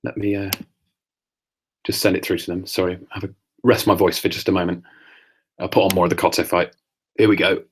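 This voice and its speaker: A man hosting a podcast, reading his own essays aloud and chatting offhand, his voice getting croaky. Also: sits low, little pitch movement, a little hiss or hum. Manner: measured reading that turns to offhand asides. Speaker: podcast host.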